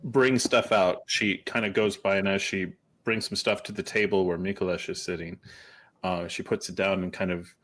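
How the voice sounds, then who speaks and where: A man narrating a tabletop game as game master, improvising and thinking aloud in a halting way. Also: low-pitched, fairly even intonation, a bit bright.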